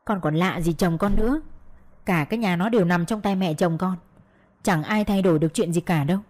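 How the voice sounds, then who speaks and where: A woman's voice: lowish voice, a little fast, a little mellow, natural voice. Woman acting a resigned, sighing older mother. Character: resigned older mother consoling her married daughter.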